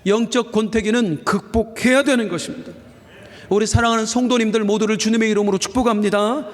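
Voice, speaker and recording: A male senior pastor preaching a Sunday sermon in earnest, slowing down for emphasis and rising on key phrases. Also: sits high, fairly even intonation. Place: church sanctuary. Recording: reverberant church hall.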